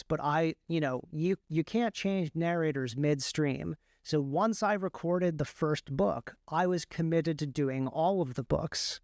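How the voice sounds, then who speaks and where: A man's voice: on the high side, clean tone, no room sound, studio quality. A man explaining things as an interview guest, in detail and with emphasis, often backtracking to qualify his points. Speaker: guest, indie author and audiobook narrator.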